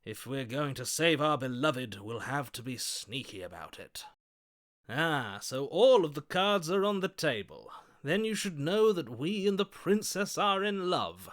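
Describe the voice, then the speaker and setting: An actor playing a gallant, lovestruck romantic in a video game, florid, vain and grandly theatrical. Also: male voice, medium pitch, a bit bright. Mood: conspiratorial, then impassioned, defiant. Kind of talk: video game character acting.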